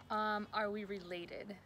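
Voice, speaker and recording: A woman's voice: medium pitch, a bit bright. Young woman chatting with faint background noise. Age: 20s. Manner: slangy, slips into Spanish. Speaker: vlog co-host. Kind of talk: casual chat answering questions. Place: outdoors by a parking area. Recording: faint outdoor ambient noise.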